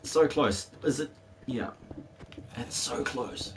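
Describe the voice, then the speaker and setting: A man's voice: mid-range voice, takes it slowly, creaky, slight background noise. A man in his forties chatting casually while streaming a game, rambling and laughing easily, his voice still a bit worn from a cold. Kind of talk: casual chat while gaming.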